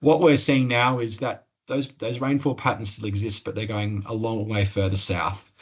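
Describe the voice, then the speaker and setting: An Australian man with a fairly low voice presents an online seminar as an expert. He explains things in plain, informal language, feeling his way through sentences with some hesitation. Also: steady tone, moderate pace, husky. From northern New South Wales, Australia. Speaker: climate expert presenting a webinar. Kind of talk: explanatory webinar presentation with slides.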